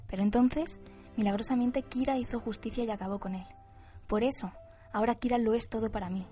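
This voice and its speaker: A woman's voice: fairly high pitch, steady tone, fairly quick, mellow, soft background music, lightly acted. A woman playing a bereaved young woman devoted to a vigilante, speaking earnestly in a radio drama. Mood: fervent, grateful. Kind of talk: radio drama adaptation of anime.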